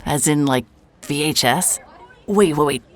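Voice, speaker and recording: A hard-boiled private investigator, played by a woman, with a very low voice. She talks in a dry, sarcastic way and fires off quick retorts. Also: a faint crowd. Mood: wry. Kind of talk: audio drama acting.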